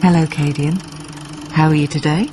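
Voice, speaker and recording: An actress playing the gentle, haunting hologram of a dead woman in a radio drama, speaking in short breathy phrases. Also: deep voice, steady tone, moderate pace, background noise, close to an everyday voice. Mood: cool, formal.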